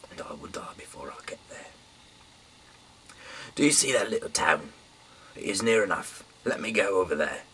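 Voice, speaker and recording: Low, bright-voiced man reading aloud. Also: husky. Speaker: Bible reader. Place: small dim room indoors. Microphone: camera mic from a little way off. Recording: distant mic, faint background hiss.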